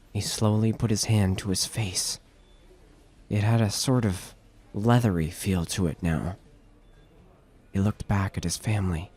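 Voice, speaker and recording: A man's voice: lowish voice, a little mellow, slightly rough. A man giving scripted narration of a horror story as the narrator of an audio drama. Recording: music bed under narration.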